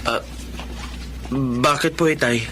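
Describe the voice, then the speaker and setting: An actor playing a dutiful but resentful grown son in a Tagalog radio drama, earnest and emphatic. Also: male voice, on the high side, slow pace, pushed and bright, slight background noise. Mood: hurt, confrontational. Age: young adult.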